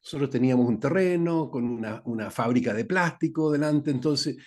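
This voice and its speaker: A man's voice: medium pitch, a little fast. An older man with a Chilean accent, a guest in a radio interview, talking in a rambling way with many fillers. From Santiago, Chile. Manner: rambling, with frequent fillers and "¿no es cierto?". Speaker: guest engineer. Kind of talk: conversational interview.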